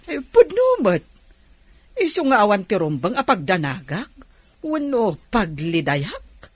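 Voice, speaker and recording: A woman's voice: medium pitch, wildly swooping pitch, a little slow, husky, no echo, full character voice. An actress playing a lonely, worried older village mother in a radio drama, with a hesitant, lamenting manner. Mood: downcast.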